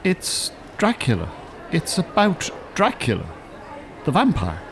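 A man's voice: on the high side, wildly swooping pitch, a little slow, a faint crowd, full character voice. An actor playing a bookish, irritable older man in a radio drama, articulate, polite and drily sarcastic. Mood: guarded. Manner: polite, dry, exasperated, articulate. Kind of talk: naturalistic radio drama acting. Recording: urban ambience, faint background noise. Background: shopping centre ambience.

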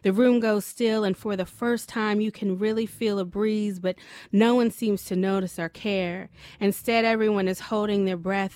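Middle-aged American woman, medium-pitched voice. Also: a little mellow. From Silver Spring, Maryland, USA. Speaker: writer, interview guest. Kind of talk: story reading and casual studio interview. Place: studio.

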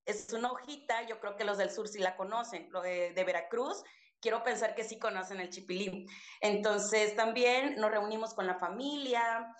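Woman, Mexican accent, from Chiapas, chatty storyteller, mid pitch, echoey room. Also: moderate pace. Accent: Mexican Spanish. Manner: chatty, warm storytelling with frequent fillers. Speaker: podcast guest voice. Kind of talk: casual storytelling chat.

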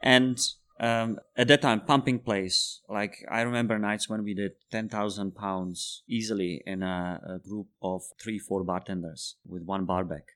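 A man in his thirties from the Czech Republic, a bartender guest in an interview, speaking English as a second language and telling animated stories with laughter. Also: fairly low pitch, measured pace, few pauses. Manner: animated storyteller, laughs while telling anecdotes. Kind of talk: casual interview storytelling.

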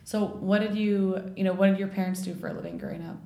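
A woman's voice: medium pitch, fairly even intonation, fast, vocal fry, dark tone. A woman hosting an interview, asking questions in a friendly, curious, chatty way. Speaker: interviewer, podcast host. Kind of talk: interview conversation. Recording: echoey room.

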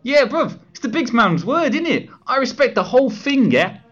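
A woman's voice: high voice, sing-song, normal speed. A woman playing a cocky young male Galileo as a brash lad with London street slang, in a comedy sketch. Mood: defensive, earnest. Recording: dull, lo-fi audio.

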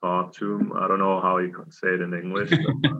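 A young man from Switzerland talks through his answers in a halting way, filling gaps with "like" and "you know." His voice sits very low with a gravelly edge and a bright, forceful tone that stays flat in pitch. The recording sounds dull and lo-fi. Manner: halting, many fillers and restarts. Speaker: hockey player. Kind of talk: casual interview answers.

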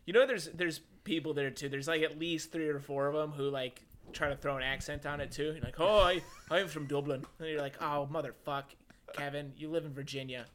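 A man's voice: a little high, steady tone, fairly quick. A man co-hosting a comedy podcast, riffing jokes and laughing often. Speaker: podcast co-host. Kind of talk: casual comedic chat.

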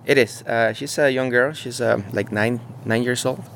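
A man from Mexico, talking casually outdoors in an on-site interview, halting and searching for words with many fillers. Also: medium pitch, steady tone, vocal fry, a bit bright, a little hiss or hum. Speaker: muralist interviewee. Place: outdoors beside a mural.

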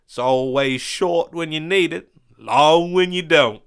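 A man's voice: a little high, takes it slowly, a bit bright, clean tone, pitched above the performer's own voice. A man voicing a pompous, cruel and cowardly rich land baron in a western story, with haughty, showy speech. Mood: mocking.

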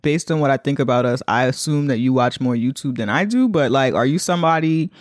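A man's voice: medium pitch, brisk, no echo, studio quality. A man co-hosting a podcast in casual chat, talking informally and loosely. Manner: informal, frequent swearing. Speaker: podcast co-host.

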